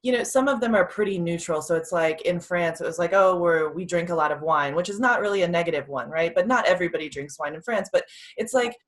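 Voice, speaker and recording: Lowish-voiced American woman hosting casually. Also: fast, hardly any pauses. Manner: informal, peppers speech with "like". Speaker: podcast host, interviewer. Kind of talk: casual chat.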